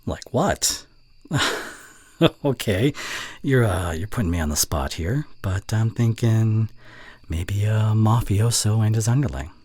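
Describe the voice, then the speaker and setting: A young man playing a teasing, fond college student and voice actor in an intimate ASMR roleplay, with a breathy voice that often drops toward a whisper and halting, playful delivery. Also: lowish voice, animated, warm tone, no room sound, exaggerated voice. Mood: musing, soft. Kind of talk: scripted ASMR roleplay addressed to the listener.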